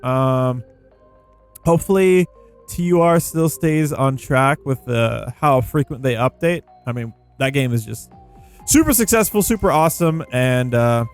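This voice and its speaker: A man giving casual gameplay commentary, thinking out loud in an informal way. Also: medium pitch, unhurried, clear, resonant tone. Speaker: gaming video creator. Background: background music.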